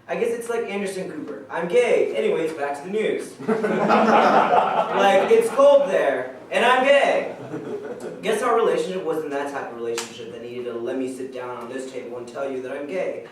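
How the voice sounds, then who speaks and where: A man acting as an easygoing guy nearing thirty in a staged monologue. His voice is a little high and conversational, heard from a distance in a very echoey hall. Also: animated, normal speed, a crowd in the background, close to an everyday voice. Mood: wry, amused. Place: stage in a reverberant room, recorded from afar. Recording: echoey hall, far mic, faint noise.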